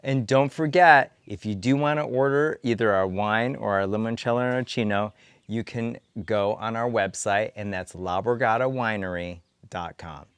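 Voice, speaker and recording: Soft-spoken man, lower voice, chatty. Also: normal speed, smooth. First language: Italian. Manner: relaxed and conversational, with frequent ums. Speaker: winery owner. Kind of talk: casual chat to camera.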